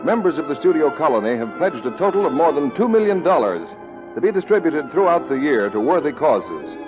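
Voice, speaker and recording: A man's voice: fairly high pitch, big pitch swings, fairly quick. A man announcing in formal, emphatic 1940s radio style, reading a script on a dull old broadcast recording. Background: music.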